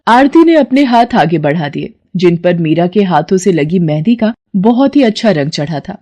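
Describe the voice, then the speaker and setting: A woman giving scripted narration for a children's animated story. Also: lowish voice, moderate pace.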